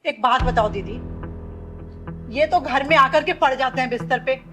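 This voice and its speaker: A woman's voice: sits high, normal speed, a bit bright, soft background music. A woman playing an overworked, exasperated wife and mother who complains indignantly in a family drama. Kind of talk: scripted Hindi drama acting.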